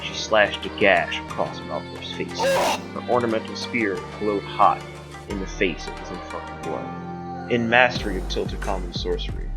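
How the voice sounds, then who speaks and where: A man narrating a scripted fantasy audio drama in a portentous, theatrical manner. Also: fairly low pitch.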